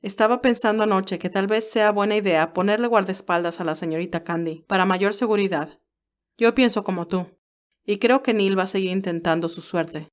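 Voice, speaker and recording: A woman's voice: mid-range voice, normal speed, natural voice. A woman voicing a stern, protective older male advisor in an audio drama, speaking in an earnest, admonishing way. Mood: businesslike.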